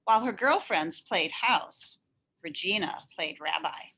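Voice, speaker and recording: Lady reading a kids story out loud, bright voice, really expressive. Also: on the low side, a little slow, husky. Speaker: story reader. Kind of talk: expressive scripted storybook narration.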